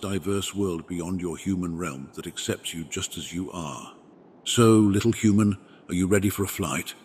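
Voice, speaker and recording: Man voicing a deep, wise, gentle dragon. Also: a little hiss or hum, natural voice. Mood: sincere, encouraging. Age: adult parent with younglings. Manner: formal, measured, reassuring. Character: wise, gentle, protective male dragon mentor. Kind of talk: ASMR roleplay, scripted comforting monologue.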